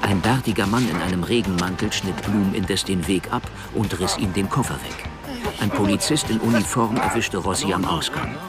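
An actor narrating a noir crime radio play in a terse, deadpan, suspenseful way. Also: male voice, fairly low pitch, normal speed, close to an everyday voice. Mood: tense. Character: sober male narrator of a noir crime story. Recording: music bed under the voice.

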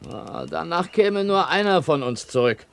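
An actor in a 1970s German radio play, playing a distrustful pirate sailor who challenges others with suspicion. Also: male voice, a little high, animated, a little slow, vocal fry, clean tone. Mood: suspicious, defiant. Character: distrustful, defiant pirate sailor, leader of dissenters.

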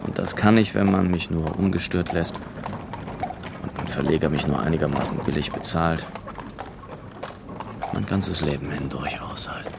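An actor playing a restless, wordy gentleman writer on his travels in a literary radio play. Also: rumbling low, moderate pace, creaky, long pauses, background noise. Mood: deliberate, wry.

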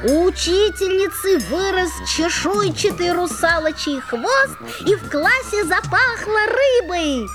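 Cast: a curious young boy narrator for a storybook play, played by a woman; high-pitched. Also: animated, a little slow, hardly any pauses, over loud music. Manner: earnest storytelling. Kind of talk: musical fairy-tale audio play narration.

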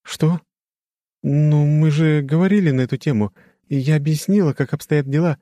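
Audiobook empress played by a man: high, soft-toned, worried and flustered, questioning delivery. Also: normal speed, dry recording, close to an everyday voice. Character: empress, adult woman, slightly anxious and flustered. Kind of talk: audiobook character dialogue.

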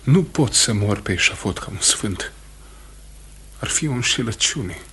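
An actor playing a condemned farmer, a stubborn and guilt-ridden husband, speaking gravely and haltingly in radio theatre style. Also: male voice, lowish voice, lively intonation, bright, forceful tone, exaggerated voice. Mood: anguished, subdued. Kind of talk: Romanian radio theatre acting.

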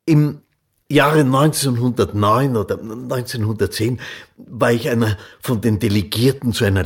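Soma Morgenstern, very husky, low. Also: male voice. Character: Soma Morgenstern, reflective male friend recalling student days. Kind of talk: German radio play, memoir narration.

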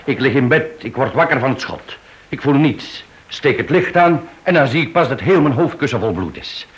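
An actor playing a grumpy, aggrieved man in a radio play, gruff and sarcastic, on an old recording. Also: mid-range voice, lively intonation, moderate pace, dull audio, lightly acted. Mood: indignant. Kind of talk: Dutch-language radio play acting.

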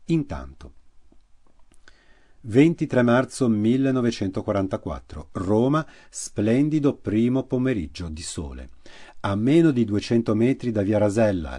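A man with a low, mellow voice tells a historical story in an Italian accent, with the measured pacing of radio theatre. He slows down and drops his voice to stress the key moments. It sounds like a studio radio broadcast. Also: slightly rough. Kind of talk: dramatic historical narration.

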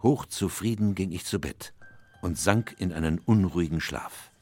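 An actor playing a loyal, earnest gentleman doctor, with literary, expressive delivery in a radio mystery drama. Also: low-pitched, normal speed, husky, soft background music. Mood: intent. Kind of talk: radio drama narration and dialogue.

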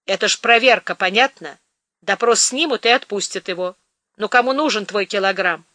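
A woman's voice: fairly high pitch, lively intonation, normal speed, fairly bright tone, no room sound, clean studio sound. A woman doing a brusque, bossy man. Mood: gruff. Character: gruff commanding man in charge.

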